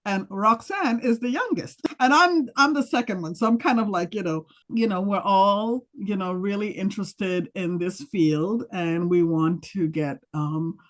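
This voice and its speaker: A woman's voice: medium pitch, unhurried, few pauses. A woman, a prospective client on a video call, speaking hesitantly with many fillers and restarts.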